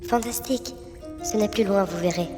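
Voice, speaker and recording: An actress playing a wary, commanding elf sorceress and warrior in a fantasy audio drama. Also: female voice, medium pitch, moderate pace, close to an everyday voice. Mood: wary, urgent. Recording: long cave-like reverb under loud music.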